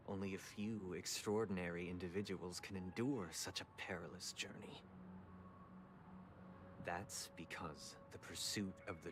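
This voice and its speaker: An actor playing a composed, solemn young man in a video game, a persuasive brother speaking in measured, formal phrases. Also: deep voice, background noise. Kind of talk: dramatic video game dialogue. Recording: music behind the voice.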